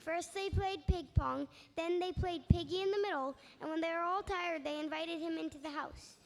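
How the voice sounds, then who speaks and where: Female storybook narrator: high, light-pitched read-aloud for children's theatre, long hall reverb, faint noise. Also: steady tone, moderate pace.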